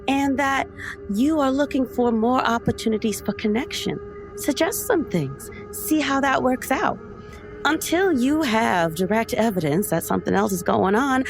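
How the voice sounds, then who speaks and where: Woman playing a polite, tactful radio advice host. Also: a little high. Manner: gentle, measured, counselling.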